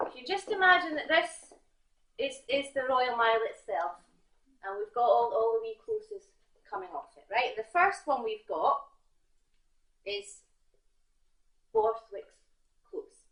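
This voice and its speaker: High-voiced Scottish woman storytelling on stage. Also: very animated, a bit bright. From Scotland. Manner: halting, punning, addresses the audience directly. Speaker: competition storyteller. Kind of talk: live comic storytelling, tall tale. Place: theatre stage before an audience. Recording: roomy, echoing theatre recording.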